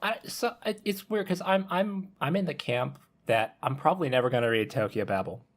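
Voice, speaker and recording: Medium-pitched man in halting casual chat. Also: animated. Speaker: podcast panelist. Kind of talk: casual podcast discussion.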